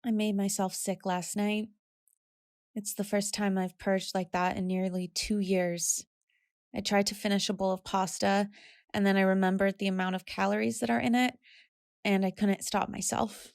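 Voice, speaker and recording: An actress as a troubled young woman in her twenties in an audio drama. Her voice is creaky and recorded dry and close, at an ordinary pitch. She speaks candidly and quietly, as if confessing. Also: flat delivery, normal speed, no room sound, close to an everyday voice. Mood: subdued, vulnerable. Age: 20s. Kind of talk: audio drama monologue and dialogue.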